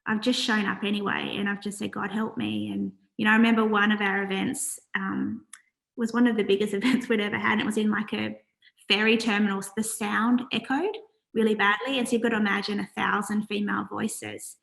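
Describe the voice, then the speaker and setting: Quiet earnest woman guest, a bit gravelly, lots of ums. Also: mid-range voice, flat delivery, low-quality recording. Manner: soft-spoken, earnest, halting with fillers. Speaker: charity founder, guest. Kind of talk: casual interview talk.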